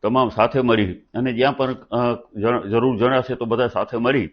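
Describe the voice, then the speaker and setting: A man, a local party leader making an unscripted, halting statement with repeated false starts. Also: medium pitch, fairly even intonation, lo-fi sound. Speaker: district political party president.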